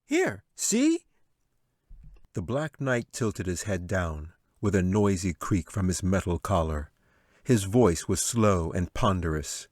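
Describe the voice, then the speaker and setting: A man's voice: sits low, measured pace, a little mellow, no room sound, studio quality. A man reading scripted fantasy fiction as the author and narrator of an audiobook.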